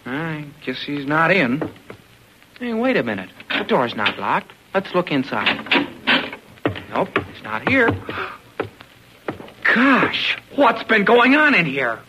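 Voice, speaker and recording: An excitable teenage boy in a 1940s radio serial. The voice is fairly high and swings up and down with earnest exclamations. The old recording is clearly noisy. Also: slow, pauses often, heavily acted. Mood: alarmed. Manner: earnest, exclamatory, wholesome slang. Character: eager excitable teenage boy adventurer, Billy.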